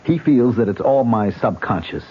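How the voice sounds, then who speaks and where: A man's voice: medium pitch, lively intonation, moderate pace, slightly rough. An actor playing a haunted man sliding into murderous madness, uneasy and then eerily delighted, in an old radio drama. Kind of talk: 1970s radio drama acting. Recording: dull old radio broadcast.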